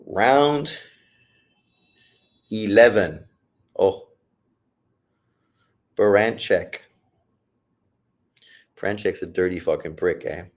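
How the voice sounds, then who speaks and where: A deep-voiced man gives loose live commentary on a bout, cursing freely and cracking jokes between punches. His low voice stays casual and blunt as he shouts encouragement at the fighters and reads out viewer questions. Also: lively intonation, drawn-out words, long pauses. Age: adult, old enough to say he got old. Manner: profane, blunt and joking. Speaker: livestream host reacting to a boxing fight.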